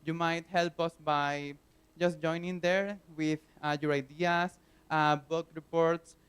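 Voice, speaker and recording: Venezuelan guy explaining stuff at a conference, highish voice, smooth and clear, lots of uhs. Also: little pitch movement, takes it slowly, fairly bright tone. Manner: earnest, plain explaining with frequent fillers. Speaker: conference speaker. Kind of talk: technical conference talk. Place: conference hall.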